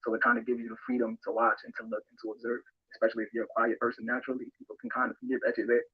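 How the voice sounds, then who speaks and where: A man's voice: medium pitch, little pitch movement, racing, slightly rough. A man giving candid, matter-of-fact interview answers about himself, somewhat hesitantly. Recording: dull lo-fi played-back audio.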